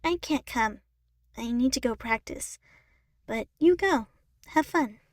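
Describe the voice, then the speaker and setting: A woman's voice: fairly high pitch, animated, takes it slowly, no room sound, clean studio sound. A woman voicing a determined, guarded young female chipmunk singer in an earnest way for an audio story. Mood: firm, guarded. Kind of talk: fan fiction audio story acting.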